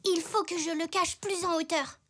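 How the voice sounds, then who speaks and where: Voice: fairly even intonation, normal speed, sharp tone, a little hiss or hum. A young performer voicing an eager, easily embarrassed little zebra boy in a French preschool cartoon, with an earnest childlike voice. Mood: anxious, scheming. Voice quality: high childlike voice. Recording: music playing behind the voice.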